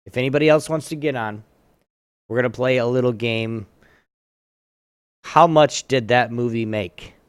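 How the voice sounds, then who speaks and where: A man's voice: mid-range voice, moderate pace, long pauses, clean tone, no room sound. A man hosting a casual gaming talk show, chatting informally and running a trivia game.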